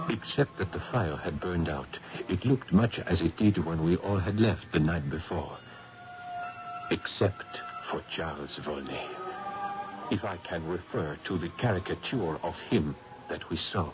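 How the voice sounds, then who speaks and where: An actor playing an urbane, practical professor, theatrical and courteous, in a 1970s radio drama. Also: male voice, low voice, animated, measured pace, music in the background. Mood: somber, hushed. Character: practical, worldly French professor, genial and urbane. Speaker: character and narrator. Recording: dull, hissy old radio broadcast.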